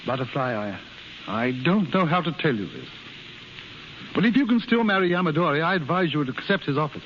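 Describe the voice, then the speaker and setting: An actor playing a kindly, uneasy American consul who speaks gently and formally in a 1930s radio drama. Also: male voice, fairly high pitch, very animated, brisk. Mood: reluctant. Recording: hissy, muffled 1930s radio recording.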